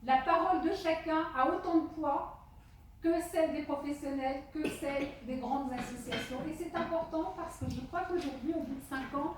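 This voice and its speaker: A woman with a high voice addresses a public meeting as the official chairing it. Her delivery is deliberate and halting, with frequent pauses between phrases. The sound is very reverberant and distant from the microphone. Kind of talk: opening speech at a public meeting. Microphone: handheld portable microphone. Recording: echoey hall, distant microphone, faint noise.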